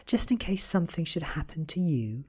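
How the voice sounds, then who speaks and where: A British man voices an ancient vampire woman from a storybook, cool and controlled. The voice is soft and husky, swinging widely in pitch while the threat stays quiet and measured. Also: big pitch swings. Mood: controlled, threatening. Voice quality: soft, breathy. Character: ancient, beautiful female vampire, cool and menacing. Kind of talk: soft-spoken ASMR storybook reading.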